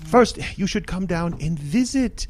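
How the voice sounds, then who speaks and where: A man's voice: high voice, animated, a little slow, background noise. An actor playing a pushy, domineering merman suitor in a comic audio drama, with earnest, formal, old-fashioned diction. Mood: eager, cheerful.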